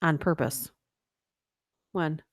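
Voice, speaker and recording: Woman reading spooky stories on a late stream, low pitch, dry close sound, voice getting worn out. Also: no room sound. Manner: steady reading voice breaking into quick laughing asides. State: voice tiring, nearly worn out. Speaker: livestream host reading stories. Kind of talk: reading ghost stories aloud, chatty asides.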